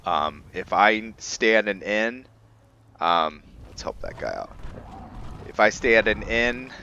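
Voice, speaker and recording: A man giving casual let's play commentary on a fantasy role-playing game, halting as he thinks aloud. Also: on the low side, very animated, pushed and bright, muffled recording. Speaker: gaming channel host narrating a playthrough.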